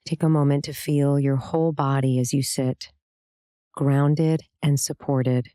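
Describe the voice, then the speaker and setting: A woman leading a guided meditation, giving calm, gentle, soothing instructions with pauses between phrases. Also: rumbling low, no echo, studio quality. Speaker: meditation guide, yoga teacher.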